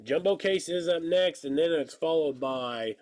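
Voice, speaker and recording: A man in his thirties with a stuffy nose and slightly hoarse voice, chatting casually and joking while he hosts a live card break. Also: a little high, a little slow, darkish tone. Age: 30s. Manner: rambling, joking, calls out card pulls. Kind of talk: casual live-stream chat while opening cards.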